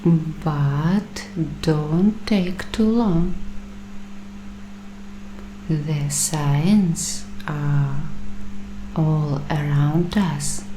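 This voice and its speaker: Very slow, hushed paranoid prepper woman's ASMR roleplay. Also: low voice, roomy sound, background noise. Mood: ominous, urgent. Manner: hushed, deliberate, secretive, conspiratorial. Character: paranoid doomsday-prepper waitress interviewing a bunker roommate. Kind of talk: ASMR roleplay, soft-spoken with whispering.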